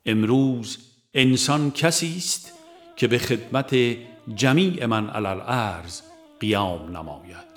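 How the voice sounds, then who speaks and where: A man narrating a religious audiobook in a formal, measured reading style. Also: mid-range voice, faint music behind. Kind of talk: scripted narration of a religious book.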